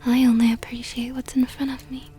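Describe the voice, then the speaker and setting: A woman voicing a seductive, possessive vampire countess in an intimate ASMR roleplay, speaking softly and close to the microphone in a teasing, condescendingly tender way. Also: fairly high pitch, flat delivery, a little fast, soft background music, lightly acted. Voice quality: breathy, whispery, soft.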